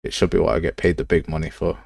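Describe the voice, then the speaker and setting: A man's voice: low-pitched, flat delivery, fast, vocal fry, a little mellow, dry recording, clean studio sound. A man hosting a game development livestream, talking casually and thinking aloud as he works in a rambling, informal, self-deprecating way. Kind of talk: casual live tutorial, thinking aloud while working.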